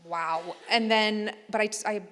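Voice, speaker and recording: A woman chatting on a convention panel, telling lively stories and putting on playful voices. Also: mid-range voice, slow. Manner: animated storyteller, playful voices. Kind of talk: casual panel chat. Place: large hall on stage. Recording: reverberant hall sound.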